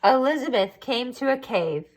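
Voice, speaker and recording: A woman's voice: a little high, lively intonation, normal speed. A woman reading a picture book aloud to children expressively, adding asides to her listeners.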